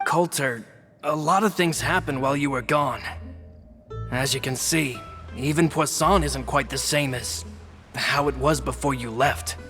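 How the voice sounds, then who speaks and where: A man voicing a steady member of a close-knit band, calm and explanatory in how he speaks. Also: medium pitch, measured pace. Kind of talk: video game voice acting. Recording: game audio with music.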